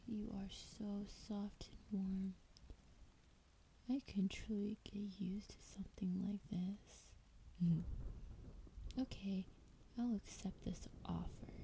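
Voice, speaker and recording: An ancient, lonely she-dragon, acted by a woman, murmurs to a beloved in an intimate ASMR roleplay. The voice is a breathy, very soft and dark whisper, unhurried and coaxing, with tender, teasing possessiveness. Ambient noise is audible behind it. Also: mid-range voice, fairly even intonation, low-quality recording. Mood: tender, welcoming. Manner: slow, coaxing, affectionate. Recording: clear background noise, ambience.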